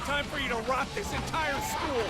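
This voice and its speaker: A man's voice: very high voice, steady tone, moderate pace, over loud music. A man voicing a gleefully vengeful goth teenage outcast in a video game, theatrical, sneering and gloating. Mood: triumphant. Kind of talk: video game villain voice acting. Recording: loud game soundtrack over the voice.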